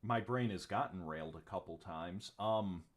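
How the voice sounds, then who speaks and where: A man's voice: low-pitched, normal speed. A man running a tabletop game as game master, rambling with many ums and casual swearing. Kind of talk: narrating a tabletop game on a livestream.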